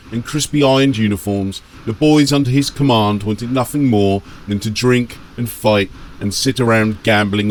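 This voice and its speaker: Low-pitched male audiobook narrator. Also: a little hiss or hum. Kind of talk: dramatic scripted story narration.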